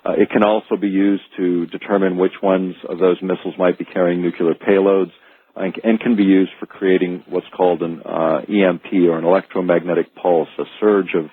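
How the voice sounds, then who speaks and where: An American man giving an informal expert explanation as a radio interview guest, halting and repeating words as he goes. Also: low-pitched, flat delivery, husky, no room sound. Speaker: interview guest, researcher and author. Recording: narrow, dry phone-like radio sound.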